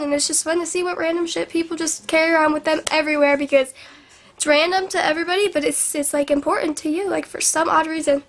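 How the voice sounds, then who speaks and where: A woman's voice: high voice, fairly bright tone. A young woman vlogging from her bedroom, rambling in a bubbly way with lots of "like", sounding sick and sniffly. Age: young adult. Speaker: beauty vlogger. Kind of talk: casual chatty vlog. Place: small bedroom indoors. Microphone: camera in front of her at arm's length.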